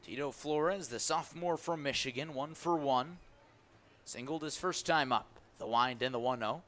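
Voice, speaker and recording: Male radio baseball announcer, bright forceful voice, clipped play calling, noisy ballpark broadcast. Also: mid-range voice, moderate pace. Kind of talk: live radio sports commentary. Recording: live ballpark broadcast with background noise.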